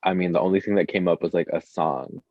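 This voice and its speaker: A man's voice: low voice, steady tone, fast. A man speaking as a music artist guest in a casual interview, informal and hesitant, leaning on filler words. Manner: hesitant, filler-heavy, informal speech with frequent "like". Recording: dull, echoey lo-fi room sound.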